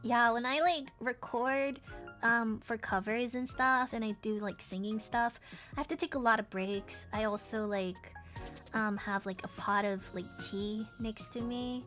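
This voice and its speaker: A woman's voice: a little high, a little slow, a bit bright, soft background music. A woman streamer chatting casually with viewers, rambling with plenty of fillers and little giggles, her voice sounding tired and strained.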